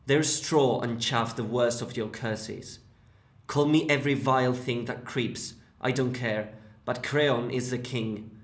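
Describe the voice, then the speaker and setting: A Spanish-accented man reads an exasperated husband lecturing his wife in slow, reproachful phrases. The words come at a deliberate pace. A roomy echo surrounds the voice. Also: mid-range voice, steady tone, lightly acted. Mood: scolding.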